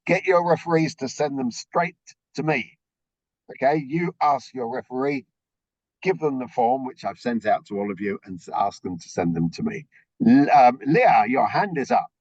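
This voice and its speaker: A man with a slightly croaky voice briefing a group on a video call, explaining things step by step and checking in with frequent okays. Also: medium pitch, animated, normal speed, darkish tone, no room sound, lo-fi sound. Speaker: orientation presenter.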